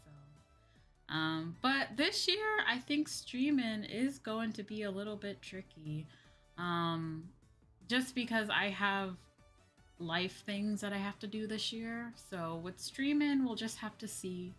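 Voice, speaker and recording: Bright-voiced woman chatting on a livestream. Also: medium pitch, expressive, slow. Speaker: VTuber livestream host. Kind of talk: casual livestream chat. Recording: background music behind voice. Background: music.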